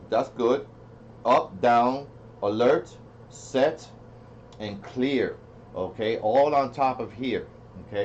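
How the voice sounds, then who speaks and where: A man giving a casual, unscripted product demo, rambling as he thinks aloud and repeats himself. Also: on the low side. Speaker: product reviewer. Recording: dull lo-fi sound with faint noise.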